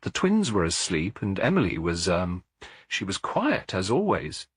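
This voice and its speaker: A man voicing a shaken, injured mother who speaks in a halting, worried way in a mystery story. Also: low-pitched, wildly swooping pitch, fairly bright tone, husky, dry recording, clean studio sound, full character voice. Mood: hesitant, searching. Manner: halting, pained.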